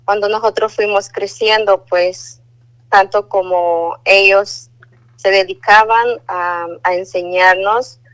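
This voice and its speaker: Slow, devout woman on phone. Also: mid-range voice, fairly bright tone, poor audio. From Guatemala. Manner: measured, devout, with hesitant fillers. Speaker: caller giving a religious testimony. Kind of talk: testimony and recited prayer. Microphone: phone line.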